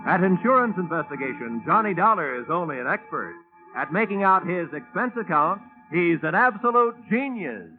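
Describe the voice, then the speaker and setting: A man announces the show in a high, punchy voice. Music plays loudly under him, and the whole thing sounds tinny and phone-thin, like an old radio broadcast. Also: lively intonation, normal speed.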